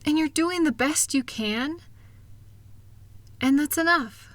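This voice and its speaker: Woman acting a gentle, unhurried, loving wife. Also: sits high, dry recording. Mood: tender, reassuring. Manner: gentle, soothing, affectionate. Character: loving, reassuring wife comforting her spouse. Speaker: audio roleplay voice performer. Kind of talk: intimate comfort audio roleplay.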